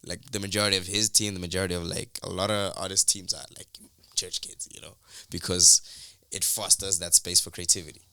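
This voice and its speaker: A young man from Durban, a musician, telling his story in a relaxed, rambling interview with many fillers and restarts. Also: low-pitched, brisk, gravelly, clean tone, dry recording. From South Africa, Durban. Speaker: musician guest.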